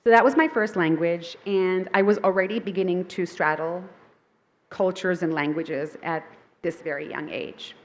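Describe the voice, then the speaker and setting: A woman's voice: fairly low pitch, normal speed. Upbeat woman author chatting to an audience, lots of ums and restarts, first language thai. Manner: upbeat and chatty, with frequent fillers and self-corrections. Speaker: picture book author giving a festival talk. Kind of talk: conversational presentation to a live audience.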